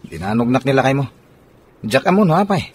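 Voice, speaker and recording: A man's voice: medium pitch, sing-song, fairly quick, husky. A man playing an earnest suitor courting a separated woman in an Ilocano radio drama. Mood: earnest, probing.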